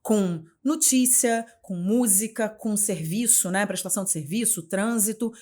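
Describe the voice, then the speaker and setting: Carioca woman in her forties, journalist guest on an interview show, expressive and chatty, often restarting phrases and adding tag questions. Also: medium pitch, measured pace. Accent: Carioca, Rio de Janeiro. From Rio de Janeiro, Brazil. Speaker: guest, journalist and communication mentor. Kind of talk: casual interview conversation.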